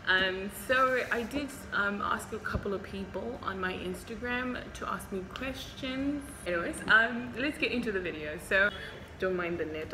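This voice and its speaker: A young woman from Zimbabwe, a doctor whose first language is Shona, answering viewer questions in a chatty, candid vlog from a hospital. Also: mid-range voice. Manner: chatty and candid, frequent ums. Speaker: doctor and vlogger. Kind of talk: casual Q&A vlog. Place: hospital, indoors. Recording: echoey room with background noise.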